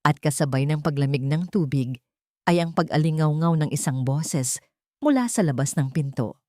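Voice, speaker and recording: A woman with a low voice reads a scripted drama as narrator. The recording is dry, with very little room sound. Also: expressive, normal speed, warm tone, no echo. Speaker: story narrator.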